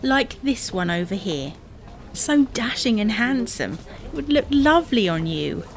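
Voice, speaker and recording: Woman acting a high-voiced exasperated nagging wife. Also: very animated, a little slow, gravelly, exaggerated voice. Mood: insistent. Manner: bossy, scolding, sarcastic. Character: exasperated, nagging wife, practical and image-conscious. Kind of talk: domestic comedy audio drama acting.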